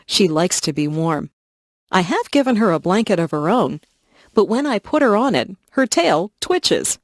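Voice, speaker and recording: A woman slowly and clearly reading simple first-person stories for English learners. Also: fairly low pitch, no echo, clean studio sound. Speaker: reader of English listening stories. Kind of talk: slow scripted reading for learners.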